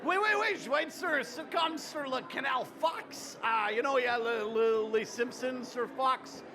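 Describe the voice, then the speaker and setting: A man's voice: very high-pitched, a little slow, crowd noise. A man whose first language is English, speaking French as a comedian guest. He talks in a boisterous, hyped-up way, drops English phrases into his French and lets out whoops. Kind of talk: hyped-up festival interview.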